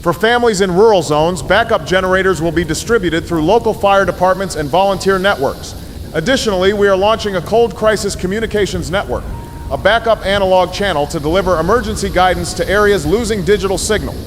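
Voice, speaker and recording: A man playing a grave, resolute president giving a measured, formal emergency address in an audio drama, with solemn reassurance. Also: sits high, slight background noise, close to an everyday voice. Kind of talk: formal press conference address.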